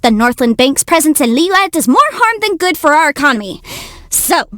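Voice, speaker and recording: A woman's voice: high voice, big pitch swings, moderate pace, a bit bright, no room sound, clean studio sound. A woman voicing a cute, confident young female legal adviser in a video game, youthful and articulate with a theatrical, self-assured delivery. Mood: brisk. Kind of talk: video game character voice lines.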